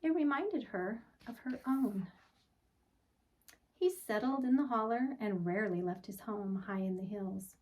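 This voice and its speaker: A woman reads a picture book to young children at a slow, deliberate pace. Her delivery is expressive, with soft phrase endings, and she sounds a little way off from the microphone. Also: mid-range voice, distant mic, poor audio. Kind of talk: storytime read-aloud for children.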